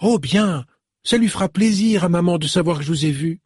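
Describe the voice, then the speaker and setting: Eager young shop assistant, high voice, husky, dry, as a male reader puts her on. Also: a little fast, no echo. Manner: chatty, eager, exclamatory.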